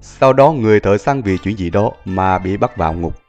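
Male narrator for animated tale, lower register, slightly dull sound with music underneath. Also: moderate pace. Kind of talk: scripted storytelling narration for animated film. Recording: muffled audio under music.

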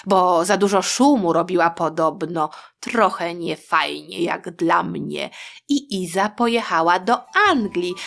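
A woman hosting a history podcast, telling stories in a playful, colloquial, expressive way for young listeners. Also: lowish voice, creaky.

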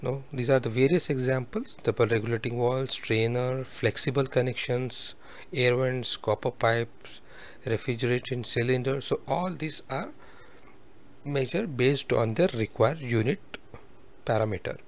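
A man's voice: medium pitch, steady tone, unhurried. A man giving a step-by-step technical tutorial over a screen recording, explaining methodically with worked examples. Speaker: instructor.

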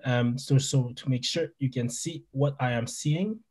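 A man's voice: medium pitch, a little slow, a little mellow, husky. A young man from Quebec with a French-Canadian accent, a native French speaker, giving a friendly presentation as a biologist over a video call with a headset, with many fillers. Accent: Québécois French. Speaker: wildlife biologist guest. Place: small room indoors.

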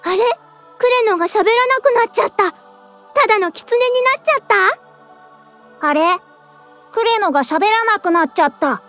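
A woman's voice: extremely high pitch, animated, moderate pace, long pauses, fairly bright tone, soft background music. A woman voicing a small childlike fox spirit in an anime-style audio drama, pouty, defiant and playful. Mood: teasing, playful.

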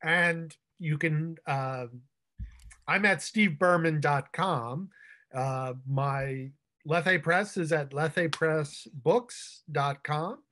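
A middle-aged man who runs a press speaks slowly and hesitantly, pausing on fillers. His voice sits a little high for a man, and he talks over a webcam from a room at home. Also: sharp tone. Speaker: publisher. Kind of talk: casual panel talk.

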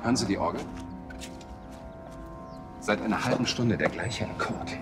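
An actor playing an anxious, polite and hesitant church cantor in a police comedy series. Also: male voice, fairly low pitch, normal speed, music in the background, lightly acted. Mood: worried.

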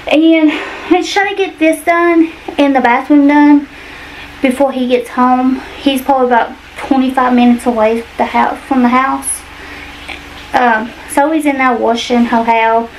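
High-voiced Southern American woman, folksy. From United States. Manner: folksy, colloquial. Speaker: vlogger. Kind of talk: casual chat to camera while cleaning. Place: indoors in a cluttered home hallway. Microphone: camera mic, arm's length to a few steps away. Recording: distant microphone with noticeable room noise.

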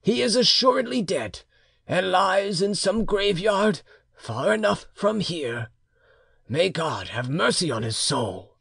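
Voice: mid-range voice, expressive, slow pace, vocal fry, fairly bright tone, husky, no room sound, clean studio sound, voice pushed up, full character voice. An audiobook narrator voicing a solemn, crafty old uncle in a storybook tale. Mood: solemn, insistent.

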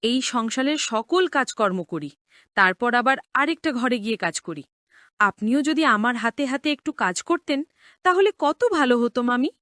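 A downtrodden young servant girl in a cartoon drama, played by a woman. Her voice is very high and plaintive, beseeching and respectful, recorded dry. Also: animated, normal speed, fairly bright tone, no echo. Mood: weary, pleading. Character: put-upon young orphan housemaid pleading with aunt.

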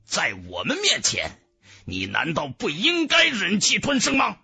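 A man's voice: high-pitched, wildly swooping pitch, measured pace, gravelly, bright, forceful tone, husky, faint music behind, exaggerated voice. A man voicing a proud, hot-tempered young elite disciple in a fantasy audiobook, indignant and haughty. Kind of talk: dramatic fantasy audiobook dialogue.

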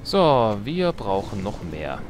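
Guy doing casual jokey game commentary, kinda low voice, game music going behind him. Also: very animated, slow. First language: German. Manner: joking, colloquial. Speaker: let's player. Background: strategy game music and effects.

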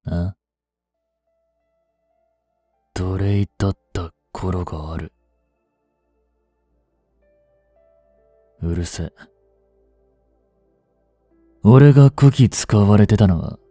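Brash young male delinquent played by a man for audio drama: dark, mellow tone, swinging intonation, defiant and teasing. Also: fairly low pitch, dry recording, clean studio sound. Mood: scornful, defiant, then bitter, proud. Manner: brash, slangy tough-guy talk, drawling. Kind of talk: Japanese audio drama acting, one-sided dialogue to the listener.

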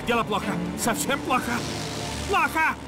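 A man's voice: extremely high pitch, lively intonation, moderate pace, over loud music. An actor voicing a cowardly, panicky male airplane passenger in a cartoon, with a frantic, fawning manner. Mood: panicking. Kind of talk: animated adventure cartoon dub.

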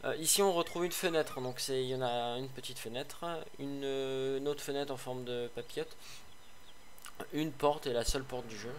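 Male game reviewer, slightly hoarse, rambling and sarcastic, mid-pitched, light background noise. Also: steady tone, moderate pace. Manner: chatty, rambling, many fillers and restarts; sarcastic asides. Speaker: gaming video host. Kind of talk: casual game review commentary.

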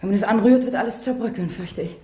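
Woman acting a wistful, mellow-voiced artist's wife. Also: mid-range voice, fast talker, natural voice. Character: wistful, anxious, self-absorbed wife of a sculptor. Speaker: actor in a radio play. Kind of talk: 1950s German radio drama acting. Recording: roomy, noisy 1950s radio recording.

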